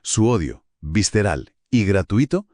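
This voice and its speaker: A man's voice: fairly low pitch, big pitch swings, unhurried, darkish tone, no echo, clean studio sound. A man with a Castilian Spanish accent co-hosting a conversational discussion about literature. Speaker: co-host of a book discussion.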